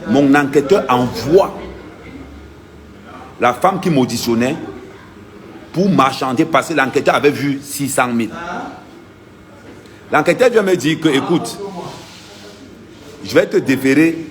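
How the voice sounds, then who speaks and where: A man's voice: mid-range voice, expressive, unhurried, pauses often. A man, an activist vlogger giving an insistent, emphatic first-person account and repeating his key points.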